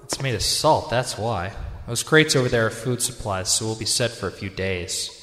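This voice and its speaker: A man voicing a young male echidna guardian, a gruff, bossy leader, in an amateur radio drama. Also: on the low side, animated, moderate pace, fairly bright tone. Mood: commanding. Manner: bossy, commanding. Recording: echoey room with long reverb.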